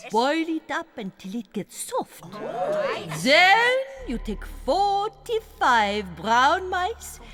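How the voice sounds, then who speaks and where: A woman's voice: sits high, big pitch swings, very slow, a crowd in the background, full character voice. An actress playing an imperious, gleefully wicked witch leader in a dramatized audiobook, commanding and gloating, swinging from hiss to shriek. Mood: gloating, instructing. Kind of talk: fully dramatized audiobook acting. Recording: music behind the voice. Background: music.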